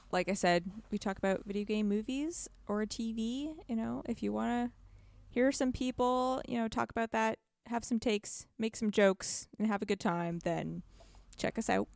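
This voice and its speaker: A woman's voice: medium pitch, vocal fry, no room sound, clean studio sound. A woman guesting on a podcast, chatting casually in an informal, emphatic way.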